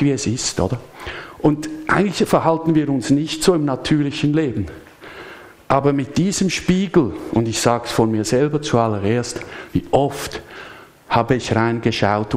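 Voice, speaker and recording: Man preaching a lively chatty sermon. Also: mid-range voice. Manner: lively, chatty, asks the congregation questions. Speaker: pastor. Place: church service.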